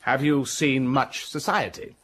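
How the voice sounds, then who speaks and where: An actor playing an imperious gentleman in a radio drama, questioning curtly. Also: mid-range voice, unhurried. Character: brusque, commanding gentleman of the manor.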